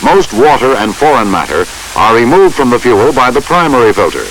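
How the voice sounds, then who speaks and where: Man narrating, animated pitch, hissy film soundtrack. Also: rough recording. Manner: measured, formal, instructional delivery. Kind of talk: scripted instructional narration.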